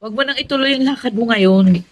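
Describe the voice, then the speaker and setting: A woman's voice: a little high, a little fast, no echo, natural voice. A Filipino woman acting a stubborn, superstitious and self-satisfied elder sister in a radio drama, insistent and lecturing. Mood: insistent, superior.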